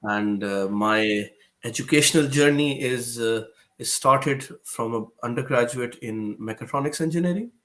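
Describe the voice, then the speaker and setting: A man from Pakistan, a guest author answering interview questions hesitantly, often pausing and restarting. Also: mid-range voice, a bit bright. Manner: hesitant, with frequent "uh" fillers and restarts.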